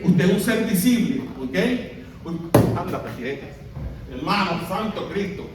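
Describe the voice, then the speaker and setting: A high-voiced Puerto Rican man preaches to his congregation, asking them questions and having them read along aloud. His voice comes from some distance away, in a church hall full of strong echo. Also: animated, measured pace, heavy background noise. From Puerto Rico. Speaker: pastor. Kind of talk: sermon.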